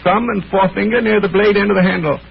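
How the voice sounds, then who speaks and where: An actor playing a brisk, self-assured gentleman detective in a 1930s radio drama, clipped and given to repeating short phrases. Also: sits high, gravelly. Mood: keen, explaining. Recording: muffled, hissy old 1930s radio recording.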